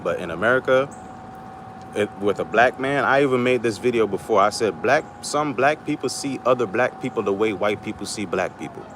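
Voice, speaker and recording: Trini guy rambling and cussing on a livestream, lowish voice, traffic noise behind him outside. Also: lively intonation, fairly quick. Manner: rambling, blunt, profane. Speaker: livestream host. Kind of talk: casual livestream rant.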